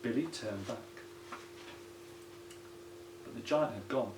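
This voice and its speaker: A man's voice: medium pitch, takes it slowly. A man, a primary school teacher, reading a children's story aloud. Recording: roomy echo, some background noise.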